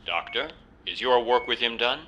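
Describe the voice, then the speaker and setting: A man's voice: medium pitch, normal speed, pushed and bright, muffled recording, a little hiss or hum, natural voice. A man voicing a ruthless male agency commander in a game cutscene, giving curt orders. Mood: cold, commanding. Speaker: commander of a rogue agency team.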